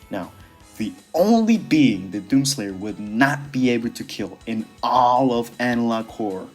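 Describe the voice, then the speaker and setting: A man's voice: mid-range voice, darkish tone, husky. A man narrating a casual scripted commentary in a sardonic, dismissive, casually profane way. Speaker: video creator narrating a commentary.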